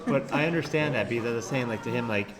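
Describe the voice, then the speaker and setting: A man's voice: medium pitch, quick pace, very noisy. A young man with a Californian accent, chatting casually in a hostel and rambling with lots of "like". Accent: Californian American English. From California, United States. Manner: rambling, heavy use of "like". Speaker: traveler in a group chat. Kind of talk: casual chat.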